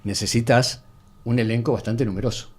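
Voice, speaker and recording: An Argentine man takes part in a relaxed panel discussion. His voice is medium in pitch, and he explains his points conversationally. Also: brisk, breathy edge.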